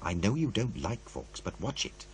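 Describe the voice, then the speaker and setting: A man's voice: fairly low pitch, big pitch swings, moderate pace, slight background noise, low-quality recording. An actor playing a wary, world-weary male producer in a radio play, blunt, sardonic and conversational. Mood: warning. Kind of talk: naturalistic radio play dialogue.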